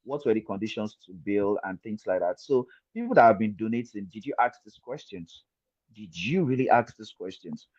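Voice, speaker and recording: A man's voice: fairly low pitch, lively intonation, normal speed, a little mellow, no room sound, muffled recording. A man on an online panel, explaining step by step and posing rhetorical questions. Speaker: panel guest. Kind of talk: explanatory commentary.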